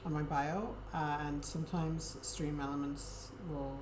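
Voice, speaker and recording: Low-voiced woman streamer in an echoey room. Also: unhurried. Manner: soft, friendly, hesitant with many ums. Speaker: Twitch streamer. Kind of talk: casual chat with viewers. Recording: echoey room with steady background noise.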